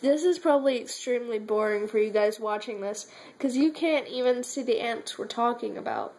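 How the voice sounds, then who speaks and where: A woman's voice: on the high side, moderate pace, darkish tone, poor audio. A young woman chatting with her mother at home on a phone camera, joking dryly and teasing herself. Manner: wry, self-mocking, joking. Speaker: daughter. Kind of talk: casual family chat. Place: home room.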